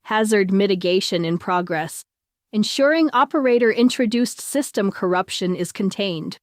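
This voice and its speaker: A woman's voice: mid-range voice, animated, moderate pace, dry recording, clean studio sound, close to an everyday voice. Woman voicing a cold, officious automated system. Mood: impersonal warning. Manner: formal, scripted, impersonal. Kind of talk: audiobook character voice.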